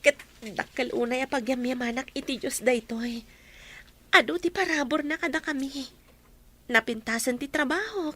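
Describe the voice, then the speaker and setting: Woman voicing young Ilocano daughter, very high voice, heartfelt, devout, radio play delivery. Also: normal speed, fairly bright tone. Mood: joyful. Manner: heartfelt, earnest. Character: earnest, devout young daughter longing for united family. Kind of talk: Ilocano radio drama acting.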